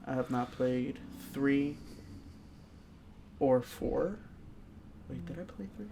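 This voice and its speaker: A man talks casually as a podcast co-host reviewing something. He pieces his thoughts together haltingly, with a faint layer of noise behind him. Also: mid-range voice, lively intonation, vocal fry, dark tone.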